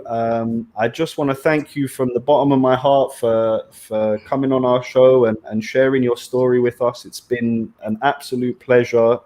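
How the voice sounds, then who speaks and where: A man's voice: medium pitch, a little mellow. A young man with an English accent hosting a podcast, friendly and chatty. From raised in the UK. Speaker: podcast host. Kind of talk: casual chat.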